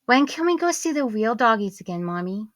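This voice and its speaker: A woman's voice: fairly high pitch, very animated, brisk, a bit bright, no room sound, studio quality, voice pushed up. A woman voicing an eager, chirpy little girl in an audiobook, with a childlike, pleading singsong. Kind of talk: audiobook character voice.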